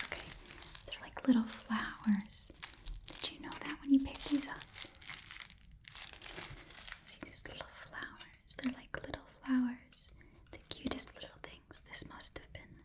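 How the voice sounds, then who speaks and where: A woman's voice: on the high side, lightly acted. Woman doing a whispery goblin pawn shop lady, asmr roleplay, scatterbrained haggler, rambles and repeats stuff. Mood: delighted, admiring. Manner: halting, rambling, repeats phrases.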